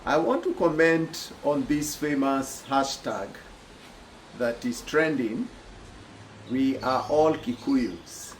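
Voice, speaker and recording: A middle-aged Kenyan man with a Kenyan accent giving thoughtful personal commentary, pausing often with "uh". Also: medium pitch, slight background noise, poor audio. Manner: thoughtful, reflective, many pauses with "uh".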